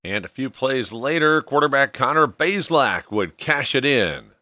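A man's voice: medium pitch, lively intonation, normal speed, pushed and bright, no room sound, clean studio sound. A man hosting a sports show, narrating a scripted recap of a football game.

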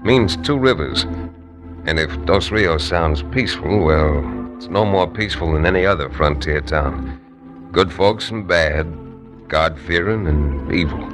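An actor playing a steady, easygoing male frontier rancher and lawyer who narrates a 1950s radio drama. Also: a bass voice, measured pace, music in the background. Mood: storytelling. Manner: easygoing, good-humored. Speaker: lead narrator.

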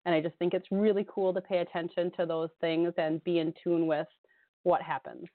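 Hoarse chatty woman on a phone line. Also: on the low side, flat delivery, moderate pace, dry recording. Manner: chatty, rambling, laughs often. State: hoarse from a cold. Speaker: radio show co-host. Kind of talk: casual radio chat. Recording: tinny phone-line sound.

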